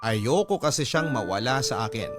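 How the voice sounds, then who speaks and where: A man's voice: mid-range voice, lively intonation, moderate pace, close to an everyday voice. A man with a Filipino accent narrating a young woman's love story in the first person, as a confiding radio drama host. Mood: regretful, confiding. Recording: prominent music bed.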